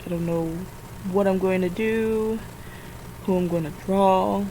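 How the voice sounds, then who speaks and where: A woman's voice: medium pitch, fairly even intonation, measured pace, mellow. A young woman with a scratchy, just-woken-up voice, rambling in a casual vlog while she draws, full of fillers and self-corrections. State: just woke up, tired. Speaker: vlogger.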